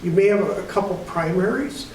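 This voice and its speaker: A man with a high voice answers questions from an audience on a community panel. He explains emergency preparedness training in loose, rambling sentences full of restarts. Faint noise sits behind his voice. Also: animated, a little fast, a little mellow, low-quality recording.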